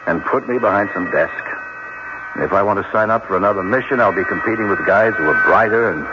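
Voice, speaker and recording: A man's voice: fairly low pitch, fast, close to an everyday voice. A man in an old-time radio drama playing a solitary veteran astronaut who is reluctant to return home. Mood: reluctant, wry. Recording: muffled, very noisy vintage radio broadcast.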